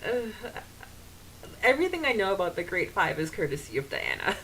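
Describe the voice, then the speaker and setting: A woman co-hosting a podcast in casual, halting, rambling conversation full of fillers. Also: lowish voice, sing-song, pushed and bright, rough recording. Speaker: podcast co-host.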